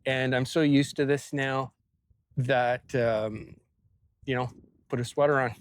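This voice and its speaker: A man talking casually to camera in a personal vlog outdoors on a farm, halting and reflective, with phrases that trail off. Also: medium pitch, steady tone, clean tone. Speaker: vlogger.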